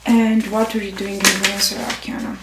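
A young woman playing a stern, methodical airport security officer in a soft-spoken ASMR roleplay, deliberate and deadpan. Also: medium pitch, lightly acted. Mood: stern, procedural. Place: small indoor room set. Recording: roomy, distant, noisy with clicks.

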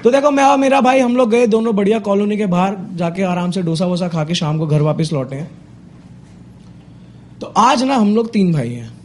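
A man's voice: sits high, normal speed, a little mellow. A young man with an Indian accent doing conversational, exasperated stand-up storytelling into a handheld microphone, acting out dialogues as he goes. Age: young adult. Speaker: stand-up comedian. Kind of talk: stand-up comedy storytelling in casual Hinglish. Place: small indoor comedy club stage. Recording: noisy live club with audience laughter. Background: audience laughter and noise.

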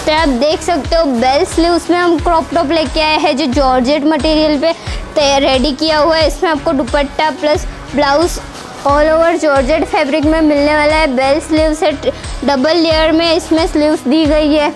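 A woman's voice: sits high, steady tone, moderate pace, faint music behind, rough recording. A young woman presenting outfits in a shop, with upbeat and repetitive sales patter, recorded on a lapel mic. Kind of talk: product showcase sales pitch. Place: indoor shop with green wall.

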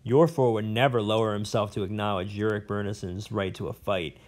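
A man's voice: on the low side, steady tone, a little fast, lightly acted. A man voicing a pompous, rambling old male professor in an audiobook, blustering and fussy. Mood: gloomy. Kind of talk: audiobook character voice.

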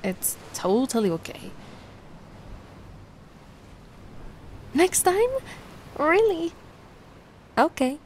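A woman voicing a giant, sweet and curious young mermaid in an ASMR roleplay, gushing and doting in a gentle, cooing way. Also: high voice, big pitch swings, slow pace, heavily acted. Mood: playful, sweet. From Italy. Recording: noticeable ambient background noise.

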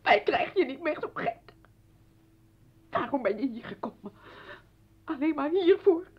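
An anguished wife in a radio drama, imploring and tearful. Her voice is very high, slow and swinging widely in pitch. The old recording sounds slightly dull. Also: animated. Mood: pleading anger. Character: anguished, pleading wife and mother confronting her ex-husband. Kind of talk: Dutch radio play acting.

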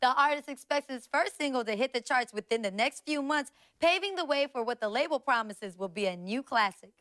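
An actress playing a television news anchor reading scripted reports. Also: female voice, high-pitched, lively intonation, brisk, fairly bright tone.

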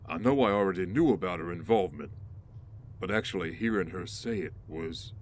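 A man's voice: sits low, animated, a little fast, slight background noise. A man playing a loyal vigilante teammate in an audio drama, wry and grumbling. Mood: serious. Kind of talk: naturalistic audio drama acting.

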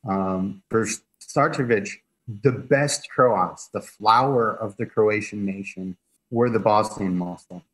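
Man explaining history, lowish voice. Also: slow pace, slightly rough, poor audio. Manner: relaxed, chuckles while explaining. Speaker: guest expert.

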